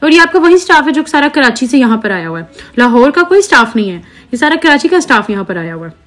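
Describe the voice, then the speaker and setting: A woman's voice: sits high, sing-song, fast, fairly bright tone. A young woman, talking earnestly and indignantly to her followers into a phone held at arm's length indoors. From Pakistan. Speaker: social media creator. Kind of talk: selfie video complaint to followers.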